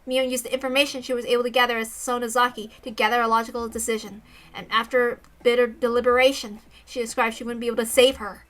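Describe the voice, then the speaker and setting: A woman's voice: on the high side, little pitch movement, quick pace, a bit bright. A woman reading a first-person story aloud as narration. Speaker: reader of a visual novel story.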